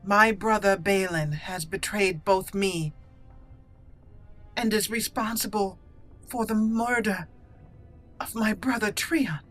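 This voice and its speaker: Woman playing a bright-voiced, proud exiled dwarven noblewoman. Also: medium pitch, slow pace, long pauses, soft background music. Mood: grave, formal. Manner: formal, earnest, dramatic. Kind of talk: improvised game roleplay.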